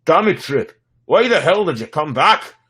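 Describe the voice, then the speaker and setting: A man playing a grim, cautious starship commander in a sci-fi dramatic reading, serious and urgent, with a husky voice. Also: on the high side, very animated, normal speed, pushed and bright, exaggerated voice. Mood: alarmed.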